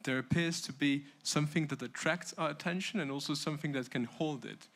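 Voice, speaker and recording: A man's voice: a little high, brisk, a bit bright. A man moderating a panel, putting unscripted questions hesitantly and restarting them several times.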